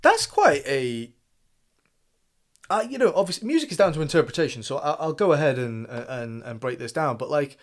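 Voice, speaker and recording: A man's voice: fairly high pitch, sing-song, moderate pace, faint music behind. Young Englishman musing aloud. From England. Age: young adult. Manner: musing, thinks aloud with many restarts and fillers. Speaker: reaction video host. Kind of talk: casual reaction commentary.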